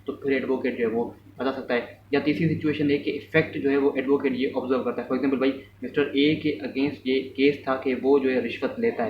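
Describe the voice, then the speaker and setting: A man giving an informal law lesson, explaining step by step, often rephrasing and mixing in English terms. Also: medium pitch. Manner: mixes English terms and phrases into speech. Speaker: law lecturer. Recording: echoey room, distant mic, muffled, faint noise.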